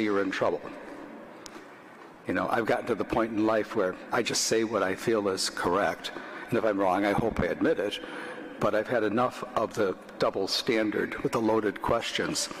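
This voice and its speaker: An older man, a priest, giving a conversational homily at a lectern in a church, talking plainly with frequent restarts. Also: on the low side. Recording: reverberant church.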